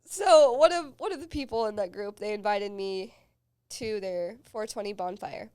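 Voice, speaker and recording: A woman's voice: medium pitch, moderate pace, a little mellow, clean tone. A young woman hosting a podcast, telling casual stories with frequent laughs and swearing. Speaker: podcast host. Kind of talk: casual chat.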